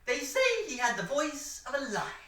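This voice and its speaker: A woman's voice: high-pitched, animated, moderate pace, bright, forceful tone, distant mic. Woman playing sly villain Professor Muerte. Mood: suave. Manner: suave, theatrical, mock-polite with sudden menace. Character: sly male villain professor who runs a wax museum. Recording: roomy echo, voice set back from the mic.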